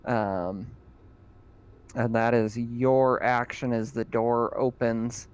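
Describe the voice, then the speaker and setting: A man running a tabletop horror game as game master, describing the scene in a halting way with frequent ums. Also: mid-range voice, little pitch movement, darkish tone, clean tone. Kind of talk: narrating a tabletop game over voice chat.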